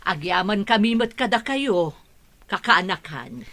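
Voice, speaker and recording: A kindly middle-aged aunt in a radio play, encouraging and animated. Her voice is mid-pitched and recorded dry. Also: a little slow, no room sound. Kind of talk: radio drama acting.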